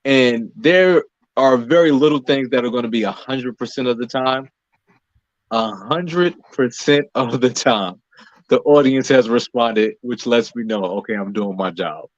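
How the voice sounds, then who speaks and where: American guy being interviewed, mid pitch, explains stuff in measured chunks, bit lo-fi and dry. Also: no echo. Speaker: playwright, director and actor interviewed as guest. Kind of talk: conversational interview answers.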